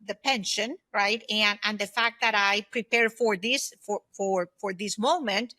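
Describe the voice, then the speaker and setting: A woman's voice: mid-range voice, a little slow, bright, forceful tone, no room sound. A woman in her fifties explaining things earnestly as a guest in a conversational interview. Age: 50s. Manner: earnest, explanatory, often checks in with "right?". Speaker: podcast guest, consultant and former aerospace executive.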